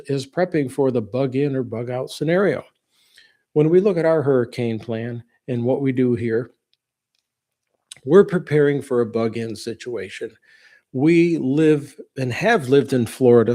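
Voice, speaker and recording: A man around sixty with a soft-edged, mellow voice talks casually as the host of a livestream. His delivery is relaxed and folksy, in plain words, and he drifts off into asides before returning to his point. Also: mid-range voice.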